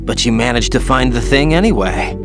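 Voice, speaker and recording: A man's voice: mid-range voice, lively intonation, a little fast, gravelly, music in the background. A man playing an earnest, questioning young rookie operative in a video game cutscene. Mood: puzzled, questioning. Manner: earnest, inquisitive. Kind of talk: dramatic game cutscene acting.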